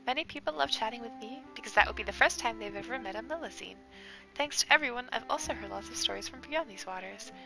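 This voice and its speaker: A woman's voice: on the high side, quick pace, gravelly, bright, forceful tone, soft background music. Woman reading as a cute, earnest little sea creature. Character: earnest, cute female Melusine sea creature, harbor storyteller. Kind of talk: reading game dialogue aloud.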